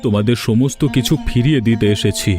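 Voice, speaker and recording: An actor playing a rich, proud village landlord who turns humble, in a moral cartoon dub. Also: male voice, medium pitch, fast talker, close to an everyday voice. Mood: humbled, earnest. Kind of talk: Bengali moral cartoon dubbing. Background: background music.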